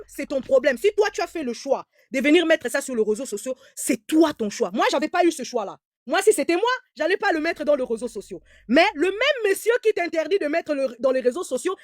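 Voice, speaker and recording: Emphatic high-voiced woman commentator. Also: expressive, fairly quick, no echo, studio quality. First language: German. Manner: emphatic, repeats words, stammers on restarts. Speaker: online commentator. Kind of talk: heated live commentary.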